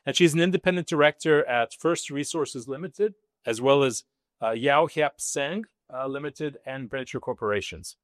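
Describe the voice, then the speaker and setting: Guy hosting a fireside chat, mid pitched voice, stops and starts a lot, pretty dry sound. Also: moderate pace, no room sound. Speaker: host, business school dean. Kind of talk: semi-prepared event hosting. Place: live session with an audience.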